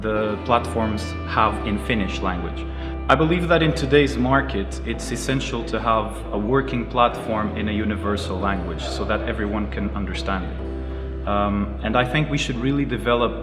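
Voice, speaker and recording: A man in his thirties from Portugal, a native Portuguese speaker, answering interview questions in a measured, earnest way with frequent pauses. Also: fairly low pitch. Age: 30s. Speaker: karate teacher and entrepreneur. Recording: roomy echoey space with music behind. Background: music playing under the voice.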